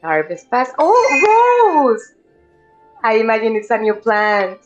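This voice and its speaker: A woman's voice: a little high, wildly swooping pitch, slow, dull audio, music in the background. Chatty woman streamer. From Mexico. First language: Spanish. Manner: chatty, greets viewers by name, reads game text aloud. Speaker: game streamer. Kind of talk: casual live-stream gameplay chat.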